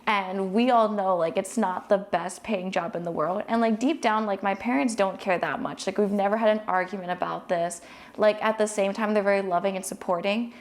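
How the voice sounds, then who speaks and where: A young woman from Hawaii in casual panel chat, relaxed, with many fillers. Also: mid-range voice, moderate pace. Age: young adult. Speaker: student panelist.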